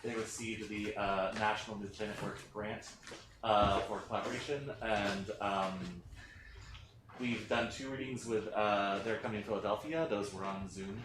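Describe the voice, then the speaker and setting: A man informally introducing a play reading as theater host, halting and full of fillers. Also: fairly low pitch. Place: indoor theater space, in person. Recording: echoey room with loud background noise.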